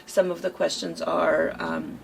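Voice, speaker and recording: A hoarse woman co-hosting a quilt shop chat, rambling casually with lots of fillers. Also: fairly low pitch, very animated, a little slow, noisy background. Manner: chatty, rambling with many fillers.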